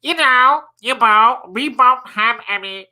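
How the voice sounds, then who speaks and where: A man's voice: very high voice, slow, sharp tone, pitched above the performer's own voice, full character voice. A man voicing a young storybook boy with a congested, nasal blocked-nose sound. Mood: earnest.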